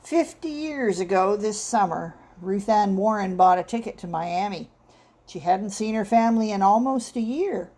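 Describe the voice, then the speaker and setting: A woman's voice: mid-range voice, expressive, normal speed, warm tone, lightly acted. A woman voicing a genial, seasoned old Southern man who tells tales in a folksy, cadenced way.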